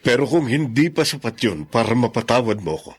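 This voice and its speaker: An actor playing a weary, remorseful middle-aged father in a radio drama, speaking earnestly and in measured phrases with a husky voice. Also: medium pitch, big pitch swings. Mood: weary, firm. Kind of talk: Filipino radio drama acting.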